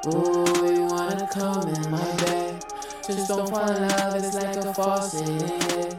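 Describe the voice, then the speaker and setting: A woman's voice: mid-range voice, little pitch movement, measured pace, over loud music. A woman singing and rapping a breezy, sing-song hook. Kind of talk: sung and rapped music track. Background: hip hop beat.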